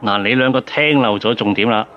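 An actor playing a cheeky, flippant self-styled uncle in a Cantonese radio drama, with a Hong Kong accent. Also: male voice, medium pitch, brisk, highs cut off, a faint crowd, close to an everyday voice. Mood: cheeky, teasing. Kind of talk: radio drama acting, bar banter.

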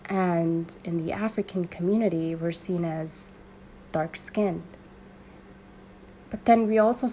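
Slow, earnest woman from Sudan. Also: on the low side, a little mellow, slight background noise, low-quality recording. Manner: earnest, searching, slow and halting with restarts. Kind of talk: personal reflective monologue.

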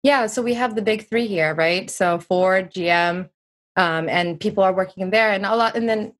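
A woman's voice: mid-range voice, normal speed, a bit bright. A woman in her thirties, a guest speaking in a chatty, reflective way with many fillers. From United States. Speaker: interview guest. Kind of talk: casual interview talk.

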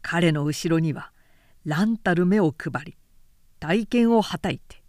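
A woman's voice: lowish voice, slow pace, a little mellow, no echo, clean studio sound. A woman narrating a literary audiobook in a composed, dramatic storytelling manner.

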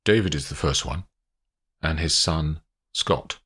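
A man's voice: extremely low pitch, measured pace, fairly bright tone, husky, heavily acted. A man playing an anxious, short-tempered wealthy client who talks in a curt, tense manner. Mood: worried.

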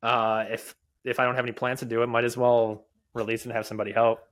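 A man in his thirties who stutters, talking in a casual interview as a podcast guest, halting often with fillers and restarts. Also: mid-range voice, flat delivery, a bit bright. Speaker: podcast guest, app developer.

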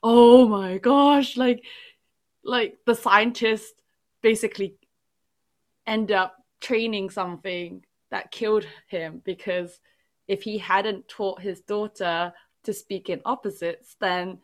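A woman's voice: mid-range voice, slow pace. Chatty woman telling stories. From England. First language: not English. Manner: animated storytelling, rambling with many "like"s. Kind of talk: casual chat.

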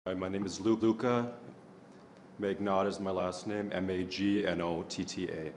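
A man with a deep voice answering judges at a model audition, giving eager, agreeable short answers. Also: steady tone, normal speed, a little mellow, a little hiss or hum. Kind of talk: audition interview.

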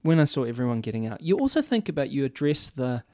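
Man, forties, middle pitch, dry recording, thoughtful, casual chat, frequent restarts. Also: sing-song, fast, dark tone, dry recording. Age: 40s. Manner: thoughtful, restarts a lot, talks with his hands. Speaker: healthcare worker. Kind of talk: casual conversation. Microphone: boom mic.

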